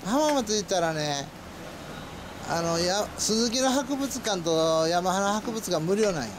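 Chatty Kansai man with a high voice. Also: lively intonation, a little slow. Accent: Kansai Japanese. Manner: friendly, talkative, chuckling. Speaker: cafe owner chatting with riders. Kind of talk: casual chat. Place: outdoors by a cafe parking area. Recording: outdoor noise, passing cars.

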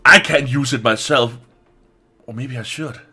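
A man's voice: mid-range voice, unhurried, bright, forceful tone, husky, soft background music. A man giving casual let's play commentary as host, informal and sweary, thinking aloud. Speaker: gaming video host.

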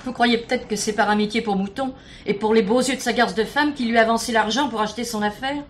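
A woman's voice: on the high side, fairly even intonation, quick pace, a little hiss or hum, natural voice. An actress playing a plain-spoken, gossipy, indignant working-class woman giving testimony in colloquial, insinuating French radio drama style. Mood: scornful, knowing. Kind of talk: French radio play acting.

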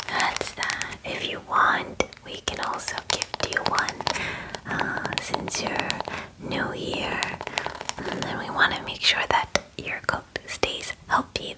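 A woman in her twenties doing a soothing, reassuring ASMR personal-attention roleplay, whispering close to the microphone in an unhurried way. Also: rough recording. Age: 20s. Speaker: ASMR roleplayer playing a werewolf groomer. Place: small indoor room, close up. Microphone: close microphone, near the camera.

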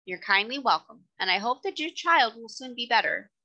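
A woman's voice: on the high side, lively intonation, moderate pace, pushed and bright, muffled recording, pitched above the performer's own voice. A woman voicing a kindly, firm male cab driver in a storybook, plain-spoken and respectful. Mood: warm, reassuring. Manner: plain-spoken, respectful working-class address.

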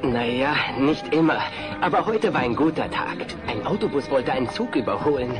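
An actor playing an awkward, cheerfully morbid undertaker in a sitcom audio drama, with a deadpan manner. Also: male voice, medium pitch, moderate pace, loud music behind the voice, close to an everyday voice.

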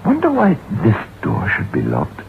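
An actor playing a brooding, reflective novelist who tells his haunted tale in measured 1960s radio drama style, on a tinny, noisy old recording. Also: on the high side, huge pitch swings, gravelly, full character voice. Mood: puzzled, musing.

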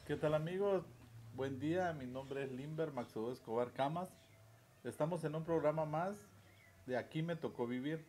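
Man, informal interviewer, southern Mexican accent, higher-than-average voice, tag questions, faint background noise. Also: moderate pace, darkish tone. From Chiapas, southern Mexico. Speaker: host and interviewer. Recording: noticeable background ambient noise.

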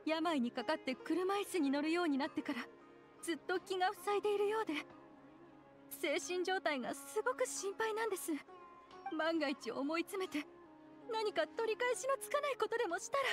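An actress playing an anxious, gentle mother who speaks politely and softly in an anime game. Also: very high-pitched, lively intonation, moderate pace, soft background music. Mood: worried. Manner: soft-spoken, polite, worried. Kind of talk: Japanese anime game voice acting.

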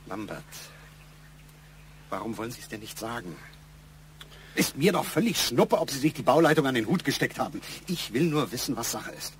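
A man's voice: mid-range voice, big pitch swings, normal speed, pauses often, close to an everyday voice. Guy playing a pushy middle-aged boss in a crime radio play, matter-of-fact and probing, bit of noise behind. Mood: insistent. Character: insistent middle-aged male boss pressing his employee. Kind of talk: German radio crime drama acting.

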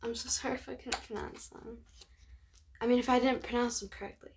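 Young teen girl reading a kids book out loud, chatty, highish voice, some noise behind. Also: fairly even intonation, brisk. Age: young teenager. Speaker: host of a read-aloud channel. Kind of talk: reading a picture book aloud with casual asides. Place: bedroom, sitting on a bed. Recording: clear background noise.

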